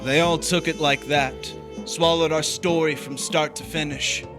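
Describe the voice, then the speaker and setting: An actor playing an uneasy, guilt-ridden drifter in a noir stage drama, confessing his crime in a halting way. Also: on the high side, fairly even intonation, music in the background, natural voice. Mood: grim, recounting. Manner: hesitant, halting in dialogue.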